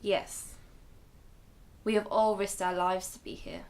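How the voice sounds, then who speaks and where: A woman playing an earnest, protective grandmother in a radio drama, speaking in a measured, explanatory way. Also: mid-range voice, little pitch movement, darkish tone, natural voice. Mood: grave, resolute.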